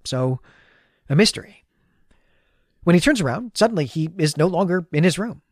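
A man's voice: on the high side, animated, moderate pace, no echo. Male podcast co-host, dry close sound. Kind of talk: story recap and casual literary discussion.

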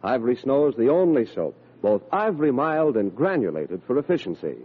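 A man reading a scripted 1940s radio advertisement as an emphatic sales pitch. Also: very animated, fairly quick, husky. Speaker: commercial announcer. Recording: muffled old radio recording.

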